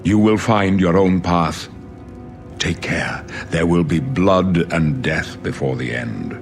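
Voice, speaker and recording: A man's voice: deep voice, slow pace, gravelly, faint music behind, full character voice. An older man playing a weary, solemn old emperor in a fantasy video game, speaking in a grave, prophetic manner. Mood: solemn, resolute. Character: weary, solemn old male emperor facing his death.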